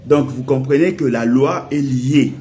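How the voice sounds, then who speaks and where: Slow, didactic man explaining. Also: medium pitch, mellow. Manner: didactic, emphatic, repeats key points. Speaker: political and legal commentator. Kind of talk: explanatory political commentary.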